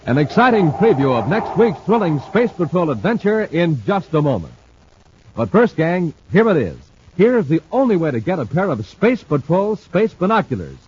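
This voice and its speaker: Booming 1950s radio announcer, muffled. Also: male voice, sing-song, moderate pace, a little mellow, slightly rough. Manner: booming, salesy, emphatic. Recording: muffled old radio transcription with faint hiss.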